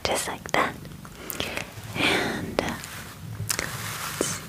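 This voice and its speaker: A woman in her twenties whispers softly and gently into a close microphone, in a soothing ASMR personal-attention roleplay as a spa groomer. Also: low-quality recording. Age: 20s. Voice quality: soft, breathy whisper. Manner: gentle, slow, soothing murmuring. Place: small dim room, indoors.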